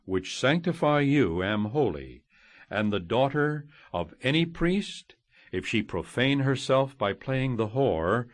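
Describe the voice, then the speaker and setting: A man reading scripture as an audiobook narrator, solemn and measured, declaiming each phrase and letting it fall at the end. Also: lowish voice, dry recording. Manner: measured, declamatory, phrases ending on falling cadences.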